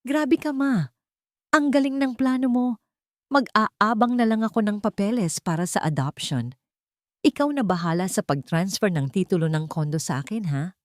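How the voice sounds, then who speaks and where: A woman's voice: mid-range voice, expressive, normal speed, darkish tone, dry recording, studio quality, pitched above the performer's own voice, close to an everyday voice. A woman voicing a greedy, gullible Filipino man, an eager scheming son and husband in an audio story. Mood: gleeful.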